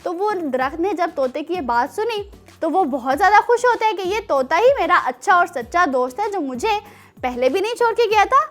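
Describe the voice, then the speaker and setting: A woman with a very high voice and a Pakistani accent hosts a children's programme. She speaks expressively, like a storyteller addressing kids, with clear music behind her. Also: animated, fairly quick, fairly bright tone. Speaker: children's show host. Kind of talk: animated storytelling and presenting for children.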